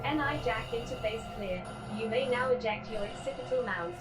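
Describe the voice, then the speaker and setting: A woman voicing a calm female medical computer in an audio drama, formal and procedural. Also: on the high side, normal speed, over loud music, natural voice. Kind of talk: scripted system announcements in audio drama.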